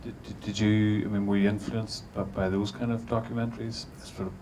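A man's voice: fairly low pitch, little pitch movement, a little fast, mellow, slight background noise. A middle-aged man chairing a panel on a cinema stage, asking long questions full of restarts. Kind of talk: interviewing a guest on stage. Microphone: handheld mic.

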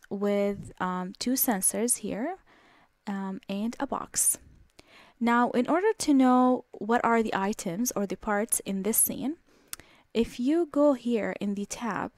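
A woman talks through a software tutorial in a relaxed, explanatory way, pausing often on ums and restarting phrases. Her voice sits in a middle range and sounds dry and close, with no room around it. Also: mid-range voice, no room sound. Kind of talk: screen-recorded software walkthrough.

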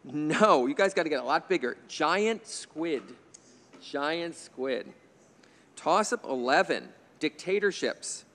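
Joking middle-aged quiz host, reverberant. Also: male voice, fairly high pitch, animated, slow pace, a bit bright. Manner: chatty, jokey asides, chuckles at his own remarks. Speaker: quiz bowl moderator. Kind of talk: reading quiz questions with light banter. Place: indoor TV studio stage. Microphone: desk microphone on a stand.

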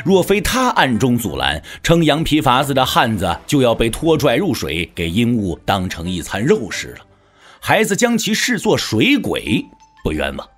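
A man narrating a martial-arts novel as a dramatic audiobook, with a measured, expressive storyteller's delivery. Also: mid-range voice, breathy edge. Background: background music.

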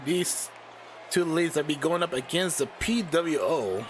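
Hoarse, giggly male wrestling announcer. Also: on the high side, expressive, measured pace, a crowd in the background, noisy background. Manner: giggly, chatty, jokes with chat. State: losing his voice. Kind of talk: playful wrestling ring announcing and commentary.

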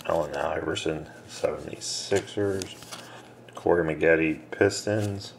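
A man breaking a box of trading cards on camera, reading out names and teams in a low mumble, casual and unhurried. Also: creaky. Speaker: card breaker. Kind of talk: casual card-break commentary. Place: small room indoors. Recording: noisy room with card shuffling.

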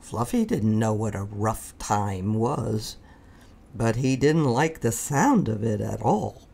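A woman narrates a children's picture book with theatrical pauses. Her voice is low and creaky at times, very unhurried, with wide expressive swings. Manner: deliberate, dramatic pauses for children. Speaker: storybook reader.

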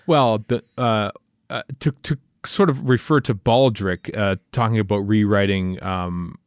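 A man with a fairly low voice hosts a podcast in an easygoing, conversational way. He feels his way through the news haltingly, with frequent ums and pauses. The sound is close and dry. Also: no echo. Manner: hesitant, halting delivery with many ums and pauses. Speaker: podcast host. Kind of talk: casual chat, reading news to co-hosts.

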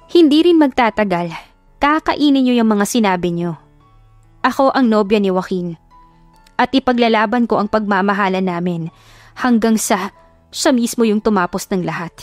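A woman's voice: mid-range voice, moderate pace, long pauses, no room sound, faint music behind, close to an everyday voice. A woman voicing a fierce, heartbroken young working-class woman in an audio drama, insistent and confrontational. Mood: defiant. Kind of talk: audio drama storybook acting.

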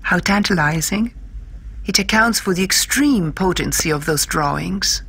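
A woman playing a caring, flirtatious pen-friend, reading a letter gently and intimately for an audiobook, with a husky voice. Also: lowish voice, lively intonation, unhurried, exaggerated voice. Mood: tender, teasing.

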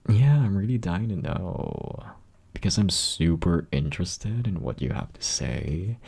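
Guy playing clingy dorky boyfriend asmr, raspy and slow, pitch moves around a lot, soft n teasing. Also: lively intonation, exaggerated voice. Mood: attentive, affectionate. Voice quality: raspy, gravelly. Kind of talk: ASMR roleplay, intimate one-sided conversation.

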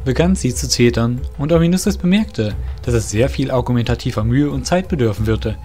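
A man narrates a fantasy audio drama in German storytelling fashion. His pitch is average for a man, and music plays behind his scripted reading. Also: brisk, dark tone. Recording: music under the voice.

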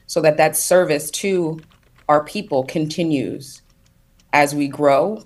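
A woman speaking as a panelist on a video call, thoughtful and reflective, building her points in loose phrases. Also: sits low. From United States. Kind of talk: panel discussion.

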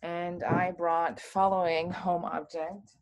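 A woman's voice: lowish voice, level tone, slow, poor audio. A woman, speaking English on a video call, thoughtful and searching for words. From Munich, Germany. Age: 30s. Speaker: participant. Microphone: laptop webcam on video call.

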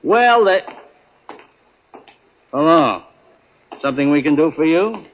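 A man's voice: fairly high pitch, expressive, takes it slowly, full character voice. A man playing a genial, morbid morgue attendant who rambles familiarly and mutters to himself, in 1940s radio acting style. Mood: chatty, morbid. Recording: dull old 1940s radio recording.

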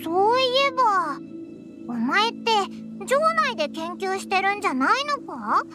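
Squeaky, cheeky little fairy girl. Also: big pitch swings, measured pace, heavily acted. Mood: curious. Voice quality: squeaky, childlike. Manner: rough, boyish, exclamatory speech. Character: tiny floating fairy girl companion, cheeky and blunt. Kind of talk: Japanese anime game voice acting. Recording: music behind the voice. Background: game music.

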